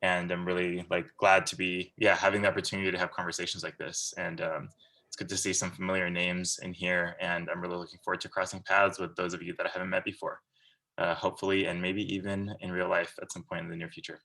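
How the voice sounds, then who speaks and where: A man's voice: low voice, completely flat delivery, fast talker, pushed and bright, rough recording. A man in his thirties from Central California, giving a casual, earnest and rambling guest lecture over a video call. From Central California, USA. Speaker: guest speaker.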